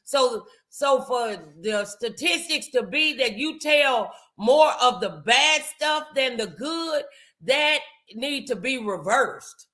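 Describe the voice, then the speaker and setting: A middle-aged American woman hosting a motivational talk show, speaking in an emphatic, deliberate way. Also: high voice, lively intonation, pushed and bright.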